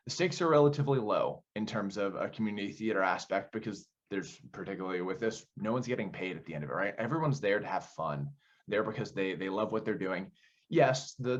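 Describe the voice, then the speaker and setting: Low-voiced man in muffled lo-fi interview. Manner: explanatory, builds points by repeating phrases. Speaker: theater director being interviewed. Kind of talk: thoughtful conversational interview answers.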